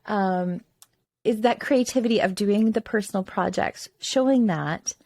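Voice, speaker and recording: A woman's voice: mid-range voice, unhurried, darkish tone, no echo. A woman hosting a casual interview podcast, who breaks in often with short affirmations while asking her guest questions. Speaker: podcast host.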